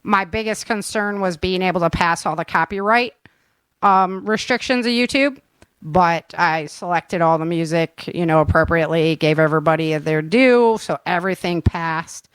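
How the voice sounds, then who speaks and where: A woman hosting a podcast in casual chat, telling stories in an animated, giggly way. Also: lowish voice, moderate pace, clear, resonant tone, no room sound. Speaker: podcast host and audio drama creator.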